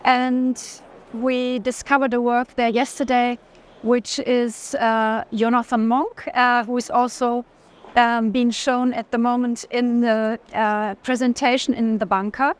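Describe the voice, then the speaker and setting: High-voiced woman, hesitant. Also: flat delivery, measured pace. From Germany. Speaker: art collector, interview guest. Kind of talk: unscripted conversation.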